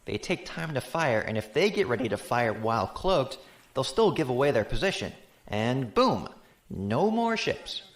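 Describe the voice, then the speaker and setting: Male actor as informal fleet analyst, lively intonation, medium pitch, candid, explanatory, roomy sound, faint noise. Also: normal speed. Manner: casual, explanatory, animated. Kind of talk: science fiction audio drama acting. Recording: echoey room, faint background ambience.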